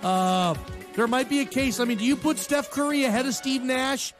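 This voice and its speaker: A man's voice: very high-pitched, normal speed, over music. An American man hosting a sports talk radio show, giving opinions in a casual, slangy, emphatic conversational way.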